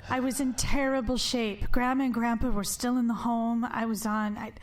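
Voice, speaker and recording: A woman's voice: on the high side, flat delivery, moderate pace, close to an everyday voice. An actress playing a guarded, weary but firm woman in a naturalistic audio drama, with a curt, dry manner. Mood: defensive, weary.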